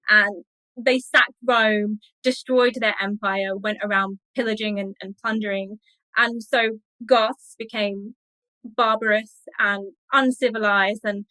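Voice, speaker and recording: Bright, high-voiced woman explaining informally. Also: no room sound, rough recording. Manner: chatty, colloquial, explaining in loose lists. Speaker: academic guest, literature researcher. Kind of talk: informal explanatory interview talk.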